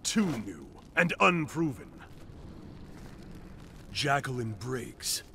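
A man's voice: medium pitch, sing-song, very slow, vocal fry, bright, forceful tone, slight background noise, heavily acted. An actor playing an arrogant male martial arts warrior who talks down to his opponent. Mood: condescending.

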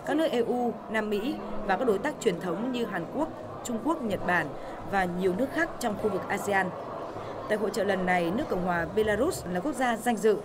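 A woman narrating a television news report in a steady, formal reading voice. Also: mid-range voice, a little fast, noisy background. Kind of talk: scripted news voice-over.

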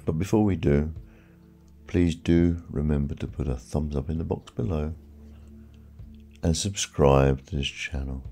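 A man leading a guided sleep hypnosis as a hypnotherapist, speaking in a soothing, hushed, unhurried way with gentle repeated cues. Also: extremely low pitch, creaky, breathy edge. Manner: slow, soothing, hushed delivery with repeated relaxation cues and counting. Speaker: hypnotherapist, channel host. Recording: background music, echoing reverb on layered voice.

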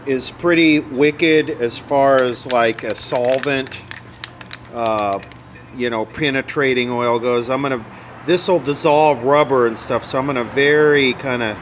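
A man's voice: medium pitch, a bit bright, slight background noise. A man talking casually and matter-of-factly through hands-on repair work, rambling a little as he goes. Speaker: radio repair hobbyist, video host.

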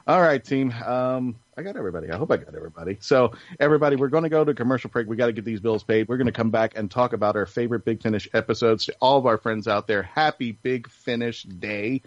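A man's voice: mid-range voice, quick pace, few pauses, dry recording. Guy hosting a podcast, jokey casual chat, dry dull lo-fi audio. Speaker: podcast host.

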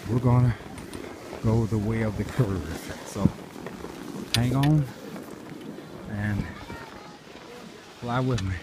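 A middle-aged man commentating in short repeated phrases to viewers while exerting himself on a ski run. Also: lowish voice. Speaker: skier filming his own run. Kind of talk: live first-person action commentary to viewers. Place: outdoors on a ski slope. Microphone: handheld phone or camera. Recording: loud wind noise on handheld camera. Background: wind and rushing ambience.

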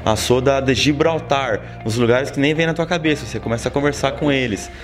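A man's voice: mid-range voice, a little fast, faint music behind. A man in his forties from Brazil with an interior São Paulo accent, telling stories as a guest in a studio interview. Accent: interior São Paulo Brazilian Portuguese. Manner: storytelling, lively, many "sabe?" tags. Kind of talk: casual interview talk. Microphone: handheld mic held by interviewer.

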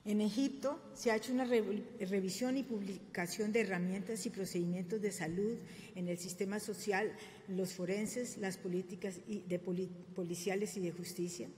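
Woman giving a halting podium talk. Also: medium pitch, fairly even intonation, a little mellow, a little hiss or hum. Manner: halting, many fillers and self-corrections. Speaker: conference speaker. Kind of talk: formal presentation at a podium. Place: government press room. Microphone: podium microphone. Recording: reverberant room, faint ambience.

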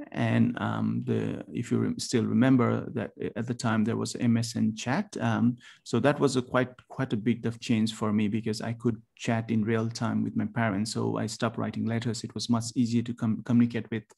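A man from Nepal in his forties, a university lecturer giving a presentation over a video call, talking haltingly with many ums and restarts. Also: mid-range voice, creaky, darkish tone. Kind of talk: webinar presentation.

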